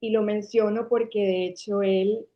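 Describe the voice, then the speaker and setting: A woman's voice: mid-range voice, little pitch movement, unhurried. A woman from Caracas with a Venezuelan accent, in her forties, telling her story at length as an interview guest. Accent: Venezuelan Spanish from Caracas. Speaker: guest, marketing agency founder. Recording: dull lo-fi sound.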